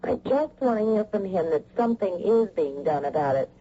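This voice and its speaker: An actress playing a brisk, bossy, worried wife who presses her point in a radio drama. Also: medium pitch, lightly acted. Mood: insistent, concerned. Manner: bossy, insistent, animated. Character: brisk, insistent, worried wife in a spy mystery. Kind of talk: 1980 radio drama acting. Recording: muffled old radio recording, faint noise.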